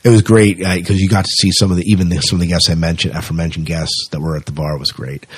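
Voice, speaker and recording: A middle-aged man with a fairly low voice hosts a podcast in an informal, conversational way. The sound is very dry, with little room around the voice. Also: little pitch movement, fast talker, slightly rough, no echo. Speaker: podcast host. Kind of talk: casual podcast chat.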